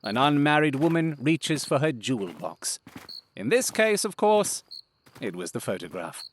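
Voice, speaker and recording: A man's voice: medium pitch, big pitch swings, a little slow, slight background noise. An actor playing a confident, theatrical detective in an audio drama, precise and self-satisfied in how he speaks. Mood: self-assured, explanatory.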